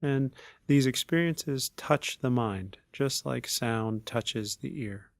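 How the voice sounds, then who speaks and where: A man leading a guided meditation as a teacher, speaking in unhurried, measured phrases with long pauses. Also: medium pitch, creaky, no echo, clean studio sound.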